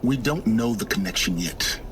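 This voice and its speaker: An actor playing an aloof, impatient middle-aged scholar of ruins in a video game, measured and condescending. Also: male voice, fairly low pitch, expressive, background noise, lightly acted. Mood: grave, explaining. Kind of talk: dramatic video game acting.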